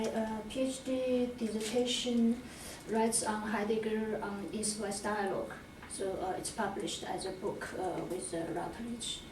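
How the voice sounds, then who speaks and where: A woman academic, introducing herself haltingly at an informal seminar. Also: mid-range voice. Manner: halting, hesitant delivery. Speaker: academic researcher and teacher. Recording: echoey room, distant mic, loud noise.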